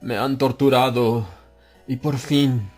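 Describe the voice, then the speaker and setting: A man narrating a horror tale as an audiobook, in a grave and measured way, stretching key phrases out slowly. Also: medium pitch, fairly even intonation, darkish tone. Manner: grave, measured delivery with drawn-out slow phrases. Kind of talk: dramatic horror audiobook reading. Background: faint harpsichord music.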